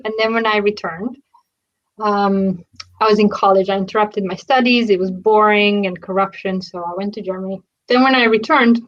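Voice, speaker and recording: A woman with a fairly high voice tells personal stories as a guest in conversation. The recording is slightly dull and lo-fi. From Romania, ethnic Hungarian family. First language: Hungarian. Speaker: podcast guest, coach of engineers.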